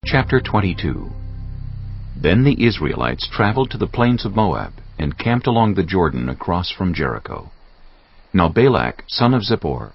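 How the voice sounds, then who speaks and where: A man's voice: deep voice, vocal fry, husky. A man narrating a dramatized audio Bible as a scripted storyteller. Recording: slightly dull audio over soft music.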